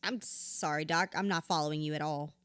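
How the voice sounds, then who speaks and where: A woman's voice: low-pitched, steady tone, fairly quick, a bit bright, smooth, no room sound, clean studio sound. A woman acting a troubled, guarded and blunt therapy patient in an audio drama, speaking plainly. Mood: subdued, confused.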